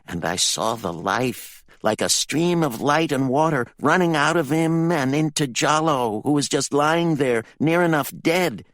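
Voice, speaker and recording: A man playing a shaken male sidekick in a storybook reading. The voice rides high above the narrator's own, with pressing, breathless urgency. Dry, close recording. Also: measured pace, hardly any pauses, fairly bright tone, dry recording. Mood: earnest.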